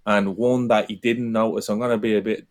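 Male panelist with a slightly low voice, relaxed and thoughtful. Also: brisk. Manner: relaxed, thinks aloud with repeated "I think". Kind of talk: casual football chat.

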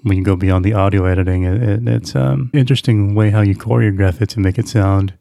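A man's voice: fairly low pitch, fairly even intonation, brisk, vocal fry, dark tone, dry recording, studio quality. A man hosting a podcast interview in a conversational style.

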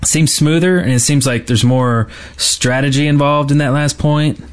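A man's voice: fairly high pitch, fairly even intonation, moderate pace. A man co-hosting a casual gaming podcast, talking in a hesitant, rambling way with trailing thoughts. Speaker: podcast co-host.

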